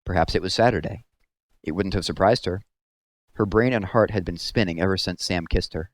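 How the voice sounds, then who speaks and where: A man narrating a story aloud in a measured, serious storytelling manner. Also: low-pitched, no echo.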